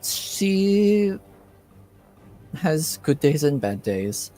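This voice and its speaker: Tabletop game role: nosy, playful young noblewoman played by a man, impish and evasive, slow-paced, music behind. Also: on the high side, big pitch swings, dark tone, pitched above the performer's own voice, heavily acted, poor audio. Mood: thoughtful. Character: curious, playful young noblewoman, eavesdropping little sister type.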